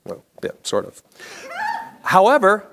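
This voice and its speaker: A man's voice: high voice, wildly swooping pitch, unhurried, vocal fry, a bit bright, slight background noise. A middle-aged American man reading a scripted personal story on stage with a practised broadcaster's delivery. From Chicago area, United States. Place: theatre stage before a live audience. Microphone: clip-on lapel mic.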